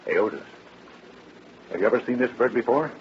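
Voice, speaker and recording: An actor playing a shrewd, folksy police captain with a wry, needling, informal manner in a 1930s radio drama. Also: male voice, medium pitch, lively intonation, brisk, a little hiss or hum, lightly acted. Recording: dull vintage radio broadcast.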